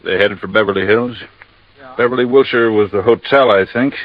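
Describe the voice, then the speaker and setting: Actor as low-voiced chatty Coast Guard captain. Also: male voice, brisk, natural voice. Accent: American. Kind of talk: 1950s American radio drama acting. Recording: dull, dry vintage radio recording.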